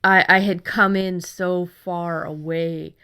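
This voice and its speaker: A woman's voice: fairly low pitch, steady tone, slow pace, sharp tone, smooth. A woman co-hosting a casual conversation about writing, talking candidly and with some self-deprecation. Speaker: podcast co-host and fiction writer.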